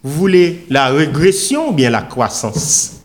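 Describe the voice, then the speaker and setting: Slow, high-pitched male preacher with sweeping intonation. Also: very animated. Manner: oratorical, emphatic, with deliberate pauses and repetition. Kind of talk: sermon with scripture readings and rhetorical questions.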